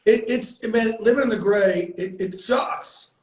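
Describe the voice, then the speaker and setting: A middle-aged man with a fairly high voice talks bluntly and earnestly, swearing freely. His words ring in an echoey room. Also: a little slow, a little mellow. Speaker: host, founder of a veterans and players group. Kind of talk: candid conversation.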